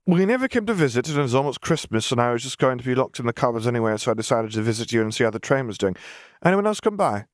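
A man's voice: medium pitch, very animated, very fast, no room sound, studio quality, voice pushed up, close to an everyday voice. A man voicing a precocious, oddly cheerful five-year-old girl with an earnest, matter-of-fact manner. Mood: eager, chatty.

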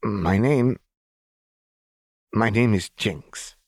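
A man voicing a frightened, volatile little girl in an audiobook, with halting, stammering, emotional speech. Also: on the low side, darkish tone, slightly rough, dry recording, studio quality, pitched above the performer's own voice, heavily acted. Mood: tentative pride.

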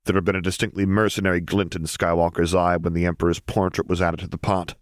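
A man's voice: sits low, flat delivery, fast, no room sound, studio quality. A man reading scripted fiction aloud as an audiobook narrator.

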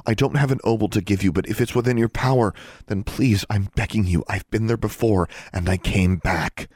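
A man voicing an earnest, determined teenage girl who speaks softly and insistently in an audiobook. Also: fairly low pitch, a little fast, dry recording, clean studio sound, voice pushed up, lightly acted. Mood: earnest pleading. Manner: soft-spoken, insistent. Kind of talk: audiobook character voice.

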